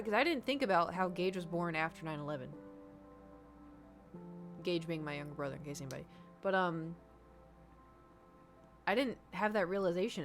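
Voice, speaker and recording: Chatty American woman streamer. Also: fairly low pitch. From United States. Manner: rambling, chatty, reads and answers chat. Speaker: gaming streamer. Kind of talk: casual chat while gaming. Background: background music.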